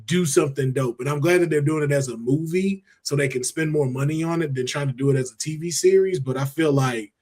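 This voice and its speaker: A man's voice: a little high, fairly quick, hardly any pauses, a little mellow. A man hosting a podcast, chatting casually with informal, slangy phrasing. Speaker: podcast host. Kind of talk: casual panel podcast chat.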